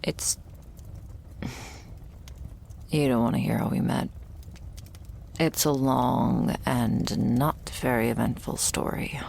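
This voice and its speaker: Wry, guarded young female thrall, played by a woman for vampire ASMR roleplay. Deep fry, unhurried delivery, sardonic and breathy at the edges. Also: steady tone, a little mellow, slight background noise, exaggerated voice. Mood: reluctant, dry. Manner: dry, sardonic, hesitant musing.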